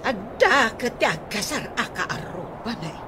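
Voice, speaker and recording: A woman's voice: on the high side, very animated, unhurried, noisy background. A woman with an Ilocano accent playing an elderly mother who scolds and consoles in a radio comedy drama. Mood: chatty, teasing.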